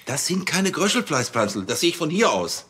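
A man's voice: a little high, big pitch swings, brisk, fairly bright tone. An actor with a Bavarian accent playing a flustered, officious policeman who stammers indignantly in a radio play. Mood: stubborn. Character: flustered, officious, stubborn male police colleague.

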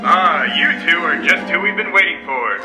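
A man's voice: lively intonation, moderate pace, over music, lightly acted, low-quality recording. An actor playing a slick, menacing alien robot henchman who talks like a showy salesman in a campy sci-fi audio drama. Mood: salesy. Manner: showy announcer sales pitch.

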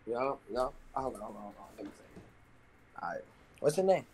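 A man's voice: mid-range voice, expressive, fairly quick, a little mellow, husky, rough recording. A man from New York flirting over a video call, rambling in slang and repeating himself. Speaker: dating show contestant, streamer.

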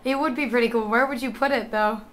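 Bright, high-pitched young woman interviewer. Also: fairly even intonation, quick pace. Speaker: interviewer, host. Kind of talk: casual backstage interview chat. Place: backstage dressing room at a theatre. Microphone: handheld camera mic, operator behind camera.

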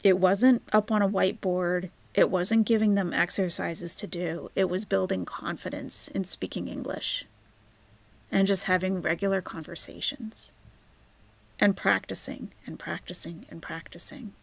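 A woman's voice: on the low side, flat delivery, unhurried, creaky, no echo. A woman hosting a live stream, chatting casually and rambling as she answers viewer comments, often dropping to near-whispered asides.

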